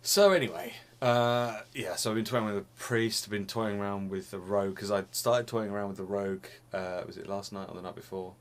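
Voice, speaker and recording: A man's voice: on the low side, steady tone, fairly quick, few pauses. A young man chatting casually to camera in a small home room, rambling and restarting his phrases, slightly sniffly. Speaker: vlogger.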